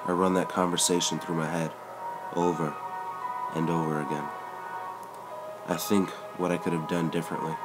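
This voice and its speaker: A man narrates a first-person story in a measured, even read. His voice sits very low and deep. A clear music bed plays beneath the whole narration. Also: rough recording. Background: background music.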